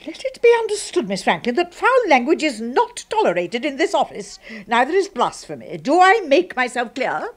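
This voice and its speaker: A prim, scandalised English secretary, swooping indignantly. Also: female voice, sits high, wildly swooping pitch, moderate pace, hardly any pauses, full character voice. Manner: stiff, precise, formal. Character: prim, disapproving, priggish older secretary, easily scandalised. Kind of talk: 1970s radio drama acting.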